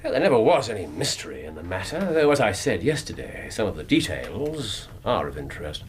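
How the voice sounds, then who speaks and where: An actor playing a brilliant, self-assured detective in a radio drama, with a British accent and a precise, dryly superior, theatrical manner. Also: male voice, deep voice, very animated, fairly quick, a little hiss or hum. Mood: absent-minded, amused.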